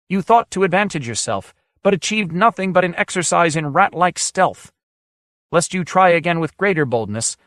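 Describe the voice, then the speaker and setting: A man's voice: on the high side, a bit bright, no echo, studio quality, voice pushed up. A man voicing an aloof ancient sage. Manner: solemn, formal and reproachful. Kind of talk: audiobook character voice.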